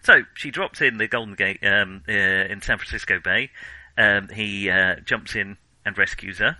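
Bright, forceful man talking film. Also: lowish voice, moderate pace, no room sound, poor audio. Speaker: podcast co-host. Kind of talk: casual film discussion.

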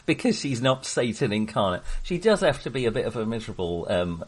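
A man hosting a podcast, talking casually about films in a jokey, wry manner with a husky voice. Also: mid-range voice, big pitch swings, brisk. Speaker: podcast host. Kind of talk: casual film discussion.

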